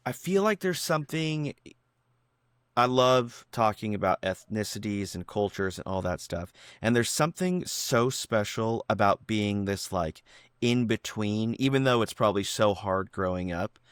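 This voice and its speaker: A man hosting a podcast interview, enthusiastic and excitable in casual chat. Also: on the low side, a little slow, clean tone, no room sound, studio quality. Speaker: podcast host. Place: San Diego.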